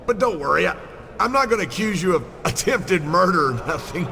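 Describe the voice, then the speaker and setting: A man's voice: high voice, animated, brisk, vocal fry, echoey room. A man playing a smug, boastful rich wrestling champion in a video game, talking in a folksy, pompous, mock-modest way. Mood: smug, insinuating. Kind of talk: video game cutscene acting.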